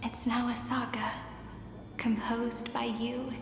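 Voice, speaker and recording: An actress playing a gentle, wistful young woman storyteller in a video game, speaking softly and lyrically. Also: on the high side, level tone. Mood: wistful, tender. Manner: slow, measured, lyrical. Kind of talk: dramatic video game voice acting. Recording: echoey hall reverb under loud music.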